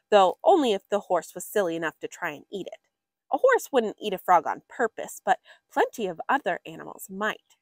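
A woman reads a children's nature book aloud at an unhurried pace. Her pitch moves in big, lively swings as she leans on important words for little listeners. The sound is dry, with very little room around the voice. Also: no echo. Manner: expressive, drawn-out emphasis for young listeners. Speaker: story reader for children.

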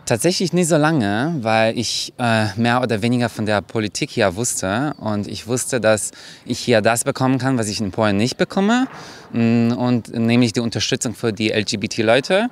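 A young man from Poland with a Polish accent, speaking in a thoughtful, measured way outdoors. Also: medium pitch, lively intonation.

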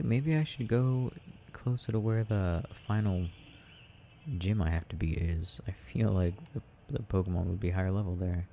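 A young man playing an affectionate, gently teasing boyfriend in an ASMR audio roleplay, murmuring and rambling as he games. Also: lowish voice, slight background noise.

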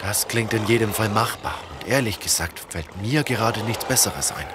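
An actor playing a steady, decisive leader of zombie-apocalypse survivors, urgent and commanding, in a German audio drama. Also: male voice, lowish voice, moderate pace, noisy background, close to an everyday voice. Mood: tense, resolute. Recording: dramatic music under the voice.

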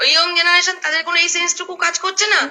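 A woman's voice: extremely high pitch, flat delivery, very fast, bright, forceful tone. A middle-aged woman explains at length on a phone call, emphatically checking that she is understood. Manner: emphatic, repeatedly seeking confirmation. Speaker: social media creator.

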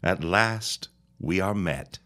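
Man playing a slow, sneering old reverend. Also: sits low, sharp tone, heavily acted. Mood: mock-courtly menace. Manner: mock-courtly, pompous, taunting. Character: menacing, sneering old reverend, vengeful farmer neighbor. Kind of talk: period audio drama acting.

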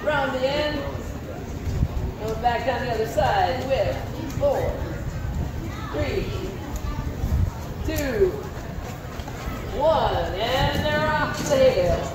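A woman calls a fair contest play-by-play, upbeat and quick with countdowns. Her high voice reaches the mic from far off, bouncing around a covered arena. A busy crowd and loud ambient noise fill the background. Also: lively intonation. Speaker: event announcer. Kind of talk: live event commentary. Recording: echoey PA sound from afar, crowd noise.

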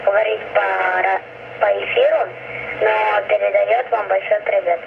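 A woman's voice: mid-range voice, steady tone, measured pace, vocal fry. A young female amateur radio operator, careful and polite, spelling out callsigns over a two-way radio. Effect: two-way radio transmission. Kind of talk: ham radio contact, spelling out callsigns phonetically. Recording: tinny, very noisy ham radio reception.

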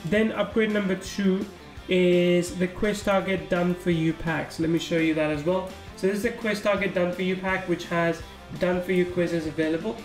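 A man casually walking through a software sales funnel on a screen recording, thinking aloud as he clicks. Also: sits high, reverberant. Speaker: software reviewer. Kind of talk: screen-recorded product walkthrough.

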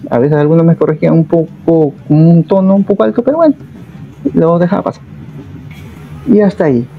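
A Peruvian man in his thirties telling a personal travel story straight to the camera, reflective and steady, often adding little tag questions. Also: a little high, brisk, vocal fry, breathy edge, phone-quality sound, faint music behind. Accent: Peruvian Spanish. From Peru. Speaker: vlogger.

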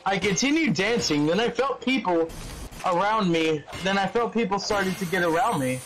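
A man doing a mocking dramatic reading of fan fiction, halting and stumbling over words, with casual sweary asides. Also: high voice, lively intonation, fairly bright tone, a little hiss or hum, low-quality recording.

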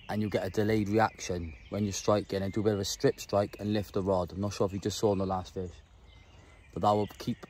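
A man's voice: on the low side, a little mellow. A man in his thirties giving informal, chatty fishing commentary in short bursts, recorded outdoors. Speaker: angler presenting a fishing guide. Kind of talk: casual vlog commentary while fishing. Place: outdoors on a wooded lake bank. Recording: outdoor recording with clear nature noise.

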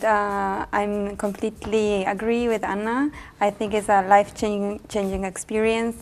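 A young woman from Argentina, giving a sincere student testimonial at a measured pace. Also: mid-range voice. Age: young adult. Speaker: master's student. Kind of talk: testimonial interview.

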